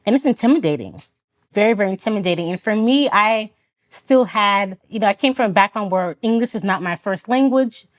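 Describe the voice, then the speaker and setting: A woman's voice: medium pitch, animated, brisk. A woman from Florida whose first language is Haitian Creole, telling her personal story as a podcast guest in a reflective, earnest way. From Florida, United States. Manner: retells events with quoted dialogue, laughs often. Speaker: podcast guest, Army officer.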